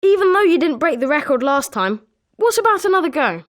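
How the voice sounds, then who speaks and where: High-pitched, eager British schoolboy played by a woman. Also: very animated, brisk. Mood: encouraging. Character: eager, sporting schoolboy wizard, friendly and competitive. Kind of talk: scripted video game character lines.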